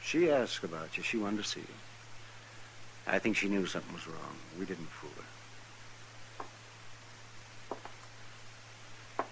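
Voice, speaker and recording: A serious old west town doc, crisp forceful tone, matter of fact, old radio drama. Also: male voice, low-pitched, lively intonation, brisk, full character voice. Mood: somber. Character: grave, plainspoken frontier town doctor. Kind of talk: 1950s radio western acting. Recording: faintly noisy old radio recording.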